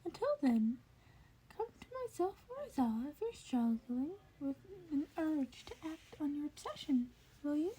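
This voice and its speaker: A woman's voice: high-pitched, animated, moderate pace, dark tone, a little hiss or hum, pitched above the performer's own voice, poor audio. A woman voicing a small, furry male creature, a polite and eagerly curious mentor with formal, inquisitive phrasing in an audiobook-style story. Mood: measured, thoughtful.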